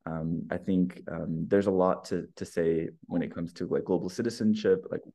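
A man's voice: low voice, steady tone, moderate pace, dark tone. A man hosting a podcast, introducing his guest in a casual, friendly way with lots of fillers and restarts. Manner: friendly, rambling with many fillers. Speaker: podcast host, researcher and educator.

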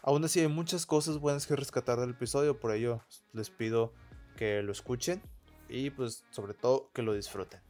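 A man's voice: mid-range voice, moderate pace, reverberant. A man in his twenties from Sinaloa with a Mexican accent, hosting a casual podcast with lots of fillers. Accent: Mexican Spanish. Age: 20s. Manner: relaxed, chatty, many fillers like "pues". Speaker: podcast host. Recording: faint music, some outside noise.

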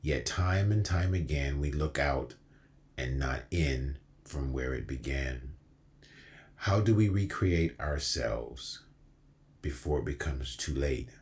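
A man's voice: very deep voice, lively intonation, slow, a little mellow. A man reciting spoken-word poetry like a preacher, with a solemn, exhortative, rhythmic delivery and emphatic repetition.

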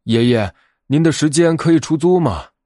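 Eager young bear cub for a storybook, lively and cheerful male reader. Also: mid-range voice, moderate pace, dry recording, studio quality, pitched above the performer's own voice, lightly acted. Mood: cautious.

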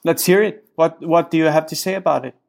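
A man's voice: on the high side, brisk, vocal fry. A man co-hosting a casual tech podcast, hesitant and often repeating his words. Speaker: podcast co-host.

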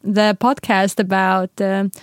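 A woman's voice: medium pitch, little pitch movement, takes it slowly, no room sound. Chatty young woman, dry sound. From Latvia. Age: young adult. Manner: chatty, laughs often, restarts sentences. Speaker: podcast co-host. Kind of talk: casual podcast chat.

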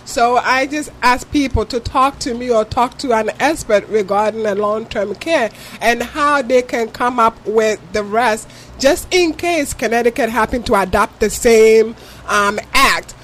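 A woman's voice: a little high, measured pace, a bit bright, a little hiss or hum. A woman from Ghana with a Ghanaian accent, a guest on a talk show who explains insurance patiently and earnestly with examples. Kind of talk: interview answers.

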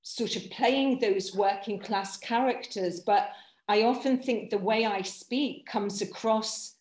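Slow-speaking woman, hard-to-place accent. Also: mid-range voice, a little mellow, echoey room. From England, Nottingham. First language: Polish. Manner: slow, polite, many ums. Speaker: actor asking a question. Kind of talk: casual Q&A.

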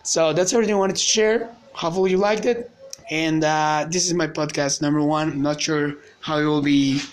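A man's voice: fairly high pitch, steady tone, a little fast. A man whose first language is Spanish, giving a rambling, motivational solo podcast monologue in casual chat.

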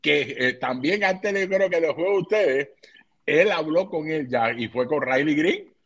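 A Puerto Rican man talking baseball as a guest coach, animated and emphatic, often repeating himself. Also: on the high side, a little slow. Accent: Puerto Rican Spanish. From Puerto Rico. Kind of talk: casual sports talk.

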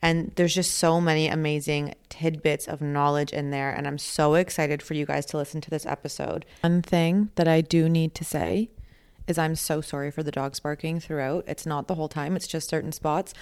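A woman's voice: low voice, steady tone, normal speed, darkish tone, clear, resonant tone. A young woman hosting a casual podcast, bubbly and gushing, often laughing. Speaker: podcast host.

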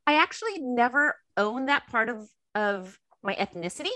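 A woman with a Californian American accent chatting casually as a podcast guest, telling personal stories and acting out bits of dialogue in an animated way. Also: fairly high pitch, normal speed, dry recording. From Los Angeles, USA. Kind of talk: casual chat, personal storytelling.

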